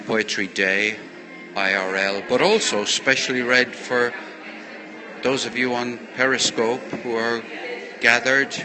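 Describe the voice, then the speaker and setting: A man's voice: medium pitch, low-quality recording. A man chatting casually to viewers on a livestream as a poetry host, rambling and full of thanks, speaking into a handheld earbud mic. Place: busy café. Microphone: handheld earbud microphone. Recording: live phone stream in busy café. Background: chatter of other people.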